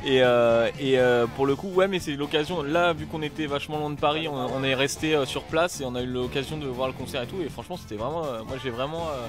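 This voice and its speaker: A man of middling pitch rambles casually about playing festivals, piling on fillers and slang. Music sounds clearly behind him. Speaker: band member.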